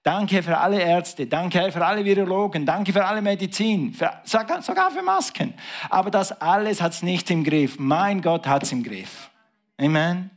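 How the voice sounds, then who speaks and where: A man's voice: expressive, moderate pace. Man preaching with dramatic swings. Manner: preaching with big swings in pitch and volume, dropping to a hush. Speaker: pastor. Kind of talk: sermon.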